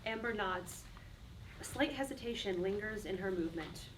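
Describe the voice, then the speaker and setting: A woman's voice: medium pitch, expressive, normal speed. A woman reading stage directions as a scripted dramatic narrator. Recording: distant mic, faint background noise.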